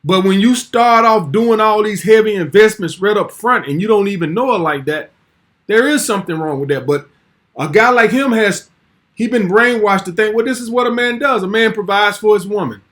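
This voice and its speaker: A man's voice: high voice, normal speed, a bit bright. A man in his forties hosting a casual commentary vlog, talking bluntly and brashly and swearing freely. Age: 40s.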